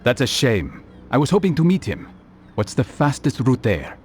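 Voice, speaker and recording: An actor playing a calm, determined assassin in a video game, with a measured, dry, terse manner. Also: male voice, mid-range voice. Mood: businesslike. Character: calm, cool, determined young assassin hero. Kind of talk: video game cutscene acting.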